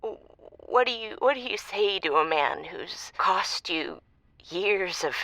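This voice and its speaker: A woman acting as a betrayed, fed-up caller in a radio drama, halting and emotional as she speaks. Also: mid-range voice, lively intonation, fairly bright tone, dry recording, rough recording. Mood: hurt, accusing. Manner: halting, emotional, repeats words.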